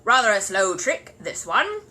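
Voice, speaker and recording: A woman's voice: medium pitch, very animated, a little slow, pushed and bright, husky, full character voice. A woman voicing a mean, nosy male neighbour in a storybook, with a grudging, knowing delivery. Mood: grudging, emphatic.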